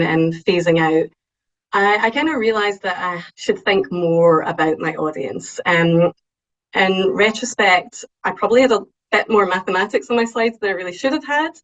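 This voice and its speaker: A woman with a strong Scottish accent giving an online talk, lively and excitable, with frequent ums. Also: lowish voice, low-quality recording. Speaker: invited speaker. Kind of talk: webinar talk on presenting.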